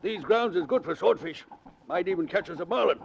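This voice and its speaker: A man acting a hearty, deferential charter boat captain with folksy sailor talk and a gravelly voice, in a 1940s radio drama. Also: high-pitched, lively intonation, fairly quick. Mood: cheerful. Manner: folksy nautical dialect, hearty. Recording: old muffled noisy 1940s radio recording.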